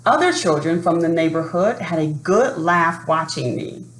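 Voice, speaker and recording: A woman presenting and reading a picture book to children in an expressive storytelling style, addressing young listeners directly. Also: on the low side. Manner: expressive, asks young listeners questions and pauses. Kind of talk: read-aloud storytelling for children. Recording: roomy sound with faint background noise.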